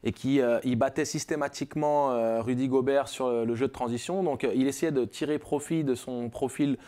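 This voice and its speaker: A man's voice: medium pitch, fairly even intonation, a little fast, warm tone. A man, a basketball pundit, speaking animatedly in a studio sports debate.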